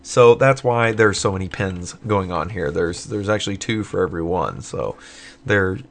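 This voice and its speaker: A man casually walking through code in a screen-recorded tutorial, his voice a little hoarse from a long night of recording, with many fillers as he thinks aloud. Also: deep voice, animated, normal speed. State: voice getting hoarse late at night. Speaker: tutorial maker.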